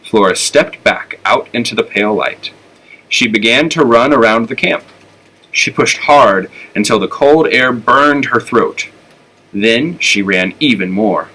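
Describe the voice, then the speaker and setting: Male storybook reader, American accent, bright and clear-edged tone, telling a children's tale. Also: mid-range voice, a little slow, poor audio. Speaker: elementary school teacher reading aloud.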